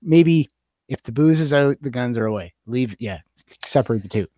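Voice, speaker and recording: A Canadian man with a mid-pitched voice speaks close and dry as a podcast co-host. He talks casually, pausing and hedging as he thinks things through aloud. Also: animated, warm tone, no room sound.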